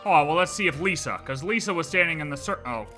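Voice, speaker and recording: A man's voice: on the high side, lively intonation, fast, sharp tone, faint music behind. A man livestreaming a video game, chatting casually and thinking aloud in slangy, offhand asides.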